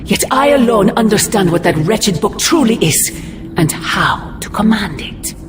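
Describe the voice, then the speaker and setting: A woman's voice: medium pitch, lively intonation, unhurried, noisy background, lightly acted. A woman voicing an ancient, imperious sorceress mother in a video game, speaking in grandiose, formal, ominous phrases. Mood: stern anger. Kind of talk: dramatic video game cutscene acting. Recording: loud music underneath.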